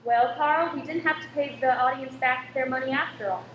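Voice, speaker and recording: A woman acting a brisk, kindly cinema owner's wife in an amateur radio play, firm and composed. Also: high voice, a bit bright. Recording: echoey room with faint noise.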